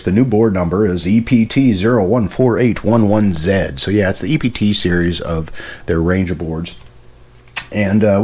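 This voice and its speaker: A man's voice: low-pitched, darkish tone. A man giving a casual hands-on demonstration as a radio hobbyist, rambling and correcting himself with enthusiasm. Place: indoor workbench.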